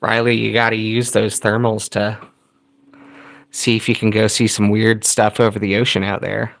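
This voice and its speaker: A man casually chatting while tuning a shortwave radio, reading viewer comments and thinking aloud in a relaxed, rambling way. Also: fairly low pitch, normal speed, gravelly.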